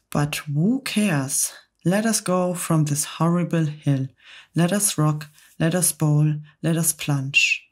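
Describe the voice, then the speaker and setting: A woman voicing an excitable, boastful male centipede who recites rhyming verse with relish. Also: low-pitched.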